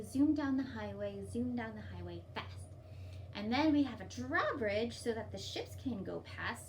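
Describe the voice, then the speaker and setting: A woman's voice: fairly high pitch, a little mellow. A woman presenting storytime for young children, reading and explaining in simple, child-directed language. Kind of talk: storybook read-aloud and singalong instruction for young children. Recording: distant mic, light background noise.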